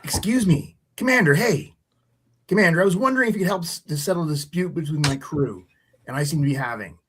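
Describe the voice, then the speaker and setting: A man playing an earnest, nerdy teenage sci-fi superfan with a pedantic, halting way of talking in a live radio-theatre read. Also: fairly high pitch, lively intonation, a bit bright, a little hiss or hum.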